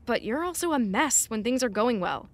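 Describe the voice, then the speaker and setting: Exasperated, caring assistant for audio drama: fairly high voice, wry, no-nonsense delivery, very dry sound. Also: female voice, big pitch swings, moderate pace, no room sound. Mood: frank. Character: blunt, exasperated, caring female personal assistant. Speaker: actor. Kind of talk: naturalistic audio drama acting.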